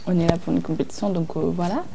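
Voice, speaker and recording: A twenty-year-old woman born in Congo rambles to the camera in a confessional. Her voice sits low and sounds dark. She keeps repeating the same fillers while she hunts for words. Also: steady tone, quick pace, creaky, a little hiss or hum. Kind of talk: reality show confessional to camera.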